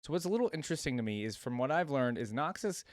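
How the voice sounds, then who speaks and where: A man's voice: medium pitch, lively intonation, quick pace, no echo, clean studio sound. A man hosting a reaction video, thinking aloud in casual commentary and repeating lines back to himself.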